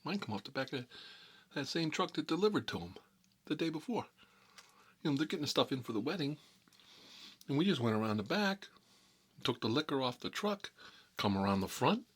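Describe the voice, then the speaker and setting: A man's voice: medium pitch, very animated, a little fast, pauses often, a bit bright, husky, voice pushed up. A man playing a talkative, hot-headed mob boss in an improvised ASMR comedy monologue, rambling and repeating himself in streetwise slang. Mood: aggrieved, boastful.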